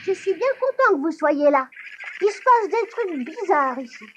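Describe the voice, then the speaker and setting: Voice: very high-pitched, sing-song, normal speed, lo-fi sound, very noisy. An actor voicing a mischievous, curious little boy in a 1980s cartoon dub, talking in an eager, naive chatter. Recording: cartoon soundtrack with loud music.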